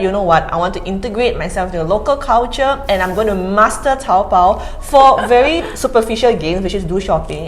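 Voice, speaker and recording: A woman in her thirties from Singapore with a Singaporean accent, telling lively personal stories on a panel. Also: mid-range voice, a little hiss or hum. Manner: lively anecdotes with fillers. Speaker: panel speaker. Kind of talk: storytelling in a panel talk.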